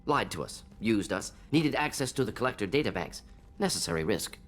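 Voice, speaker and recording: An actor playing a pragmatic squad member in a video game, clipped and analytical. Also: male voice, lowish voice, sing-song, fairly quick, soft background music. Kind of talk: dramatic video game dialogue.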